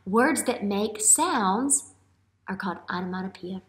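A woman's voice: medium pitch, expressive, a little slow. An American woman in her thirties, a teacher talking expressively to young children and often asking questions during a read-aloud. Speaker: early elementary teacher. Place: classroom, indoors. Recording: roomy classroom echo.

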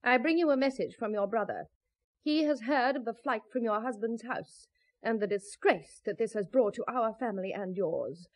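Casting: woman playing an imperious medieval noblewoman for radio drama, high-pitched, sneering and cruel. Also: moderate pace, lightly acted. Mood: silky disdain. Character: haughty, mocking, cruel medieval lady of the manor. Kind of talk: 1970s radio drama acting.

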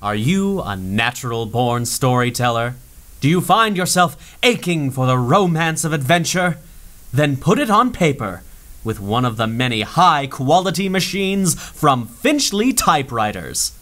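An actor playing an enthusiastic announcer for a 1930s-style radio adventure serial, introducing the show and reading an ad. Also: male voice, mid-range voice, very animated, a little slow, a bit bright. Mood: salesy. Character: cheery male old-time radio announcer.

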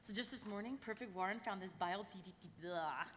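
A woman's voice: medium pitch, fast, natural voice. A woman playing a brash young roommate, echoey hall. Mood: excited. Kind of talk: live table read. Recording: echoey convention hall, noisy.

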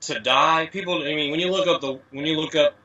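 Bright-voiced man, distant from the mic. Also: a little high, a little fast, lo-fi sound. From USA. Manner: rambling, many "you know" fillers, wordplay explanations. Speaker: guest. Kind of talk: casual conversational interview.

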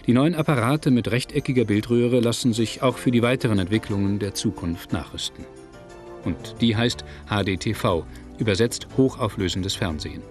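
A man narrating a scripted documentary in a measured, factual manner. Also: fairly low pitch, faint music behind. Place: studio voice-over, music behind.

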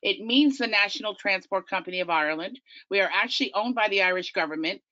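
Bright, chatty Long Island woman. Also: mid-range voice, lively intonation, fast talker, no echo, lo-fi sound. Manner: chatty, enthusiastic, self-deprecating. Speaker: tour company business development manager. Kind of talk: webinar slideshow presentation.

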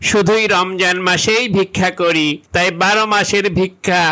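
A man's voice: sits high, fairly even intonation, normal speed, sharp tone. A man playing a sly, greedy old beggar in a funny cartoon, cheeky and bargaining.

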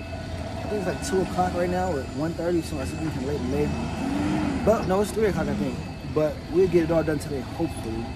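An American man in his thirties telling a story in a casual vlog, animated and slangy, repeating phrases and tag questions. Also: on the high side. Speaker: flatbed trucker and vlogger. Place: truck cab with open door, steel yards. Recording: loud vehicle and outdoor work-site noise.

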